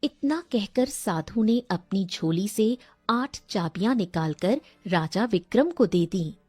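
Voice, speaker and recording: Slow, husky woman narrator. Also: medium pitch, animated, a little mellow, no room sound. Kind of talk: scripted storytelling narration.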